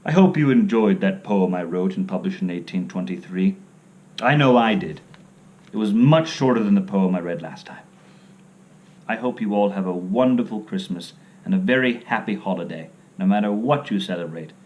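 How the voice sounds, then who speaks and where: A man reading and chatting as a storyteller, theatrical and expressive with a tongue-in-cheek, playful manner. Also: low-pitched, normal speed, highs cut off. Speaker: storyteller, host. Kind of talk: dramatic poem reading with comic playful asides.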